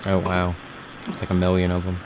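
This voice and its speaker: A man's voice: low voice, completely flat delivery, fairly quick. A man with an American accent making a casual, improvised prank phone call. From United States. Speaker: prank caller, host. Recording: clearly noisy live call recording.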